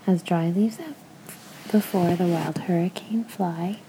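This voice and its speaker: A woman quietly prompting a child line by line from a poem, often in a whisper. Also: on the low side, unhurried, slight background noise, rough recording. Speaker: adult prompting a young child.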